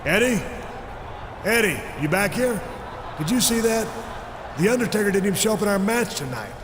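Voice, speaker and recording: A man's voice: sits high, lively intonation, normal speed, reverberant, big-room sound, noisy background. A man voicing a suspicious wrestler pressing a friend with questions in a game cutscene. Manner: pressing, questioning, growing impatient.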